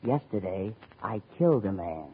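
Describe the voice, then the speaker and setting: An actor playing a crazy, earnest eccentric who confides absurd claims, in 1940s radio comedy style on an old recording. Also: male voice, lowish voice, lively intonation, normal speed, slightly rough, phone-quality sound. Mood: confiding. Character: crazy escaped lunatic posing as a nurse, eccentric.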